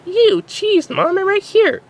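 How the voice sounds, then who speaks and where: A man voicing an excitable, playful young unicorn filly in a squeaky falsetto for a story. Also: slow pace, a little hiss or hum. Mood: indignant.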